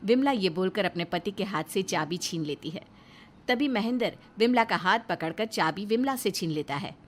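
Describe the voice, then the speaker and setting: A woman narrates a cartoon story in a scripted, dramatic style. Her voice is average in pitch, set out as clear storytelling between dialogue. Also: animated, moderate pace, slight background noise.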